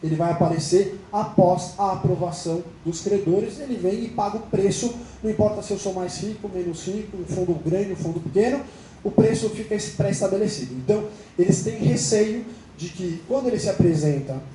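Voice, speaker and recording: Brazilian man pitching to creditors, high voice but very mellow, echoey room, bit of hiss. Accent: Brazilian Portuguese. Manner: explanatory, fast, quoting others in dialogue. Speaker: company representative presenting to creditors. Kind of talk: business presentation. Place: meeting room. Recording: roomy hall, light noise.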